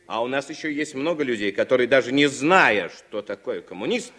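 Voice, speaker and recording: A man's voice: medium pitch, animated, fairly bright tone. An actor playing a principled, defiant American war veteran in his late thirties, speaking firmly and oratorically in a Soviet radio drama. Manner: measured, firm, oratorical.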